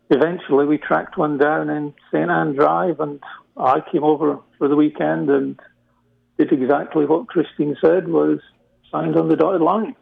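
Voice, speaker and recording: A man's voice: fairly high pitch, creaky. A Scottish man, a guest in a casual interview, talking with many hesitant fillers over a remote call. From Scotland. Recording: tinny phone-like remote line.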